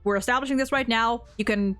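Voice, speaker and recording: High-voiced young woman chatting, sweary fan. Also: quick pace, a bit bright, no room sound, soft background music. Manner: informal, sweary, gushing fan talk. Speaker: host of a video game ranking discussion. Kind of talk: casual chat.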